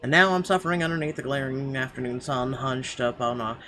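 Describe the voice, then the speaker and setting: A man's voice: mid-range voice, fairly even intonation, brisk, bright, forceful tone. A man narrating a visual novel as a game streamer, reading expressively with small stumbles and asides. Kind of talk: reading visual novel text aloud, let's play. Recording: game music behind the voice.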